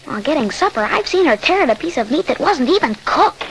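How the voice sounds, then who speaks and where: A woman's voice: high-pitched, big pitch swings, fast talker, gravelly, full character voice. A woman playing an earnest, fearful young boy who narrates and acts in a 1940s radio horror drama. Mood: worried. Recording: slightly noisy 1940s radio recording.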